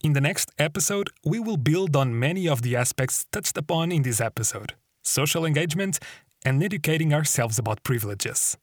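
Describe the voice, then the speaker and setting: A man hosting a podcast, asking questions and closing the episode with measured, formal phrasing. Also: on the high side, lively intonation, no room sound, studio quality. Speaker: podcast host.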